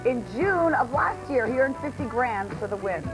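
American newswoman over clear music. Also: fairly high pitch, animated, moderate pace, poor audio. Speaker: TV morning news anchor. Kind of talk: news reading with chatty banter.

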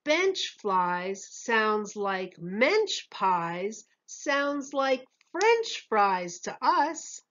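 A woman voicing young twins in a storybook, sounding out rhymes in very drawn-out words. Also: mid-range voice, sing-song, a bit bright, muffled recording, exaggerated voice. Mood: puzzling, pleased. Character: young twins, boy and girl, puzzling out rhymes.

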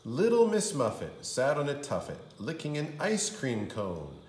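Male kindergarten teacher for children's rhyme read-aloud: theatrical and sing-song, swooping pitch, recorded in a roomy space. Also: huge pitch swings, unhurried, darkish tone. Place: indoor room. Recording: roomy indoor echo.

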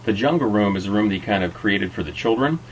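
A man's voice: fairly low pitch, animated, quick pace, over loud music, low-quality recording. A middle-aged man hosting and narrating an informal guided tour. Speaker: host and narrator of a restaurant tour.

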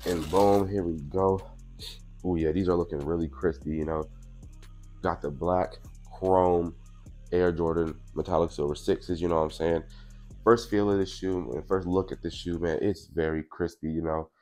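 A man's voice: deep voice, fairly even intonation, normal speed, warm tone, soft background music. A young man in his twenties, a little congested from allergies, chatting casually through a sneaker unboxing in a small room on a clip-on mic. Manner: laid-back, chatty, says "you know what I'm saying" a lot. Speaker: sneaker vlogger. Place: small indoor room with purple lighting.

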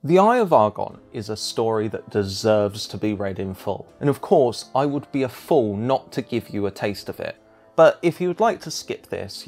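A man's voice: fairly low pitch, darkish tone. A man giving scripted narration as a video essayist.

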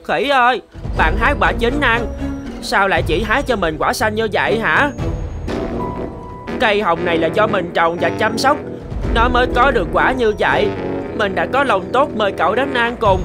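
A woman's voice: medium pitch, expressive, normal speed, music in the background, natural voice. A woman voicing a kind, naive, friendly little crab in a children's cartoon, earnest and eager. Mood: upset, pleading.

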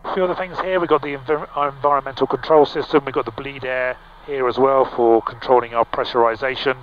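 Middle-aged man, pilot, relaxed cockpit walkthrough, headset boom mic, slightly muffled sound, faint engine hum. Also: fast talker. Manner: relaxed, explanatory, with frequent fillers and restarts. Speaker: pilot and vlog host. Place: small aircraft cockpit in flight.

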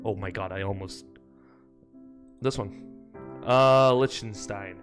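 A young man chatting casually on a live stream from a small room, profane and excitable, bursting into loud outbursts. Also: on the low side, slow pace, faint music behind.

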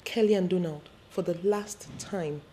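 A woman playing the summoned spirit of a dead mother, who speaks slowly and solemnly with a commanding tone. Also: fairly low pitch, background noise, close to an everyday voice. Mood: stern.

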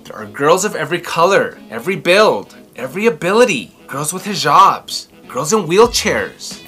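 Male storybook presenter, thirties, expressive and theatrical, lively swings in pitch, faint music behind. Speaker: children's book reviewer and host. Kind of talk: storybook read-aloud and review to camera. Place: small furnished room at home, indoors.

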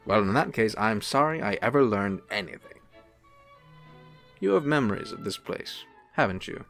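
A man acts out a suave angel in human form for an audio drama. He is courtly and playful, with a lively, swooping delivery and a creaky edge. Music plays behind him. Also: lowish voice, normal speed. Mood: charming, tender. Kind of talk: radio-play style dramatic acting.

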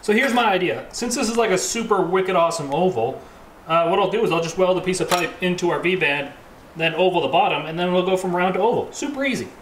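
A man's voice: high voice, lively intonation, fast, a bit bright, slight background noise. A Canadian man in his thirties talking in a jokey, slangy way as he hosts a casual workshop vlog from a garage. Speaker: vlog host and fabricator.

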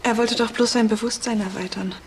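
A woman's voice: on the high side, fairly even intonation, fairly quick, a little hiss or hum, lightly acted. An actress playing a naive, defensive young woman who works in a pharmacy, in a German crime radio drama.

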